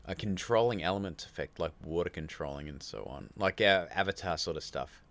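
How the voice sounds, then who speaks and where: Low-voiced aussie guy rambling through a livestream, reading chat and laughing a lot. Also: expressive, fairly quick, fairly bright tone. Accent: Australian. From Australia. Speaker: livestream host. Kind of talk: casual live-stream chat reading viewer comments.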